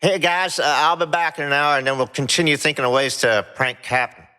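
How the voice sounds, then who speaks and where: A man playing a hesitant, bumbling space-crew sidekick in an audio drama, halting and stammering as he talks. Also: on the high side, fairly even intonation, sharp tone, clean tone. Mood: casual.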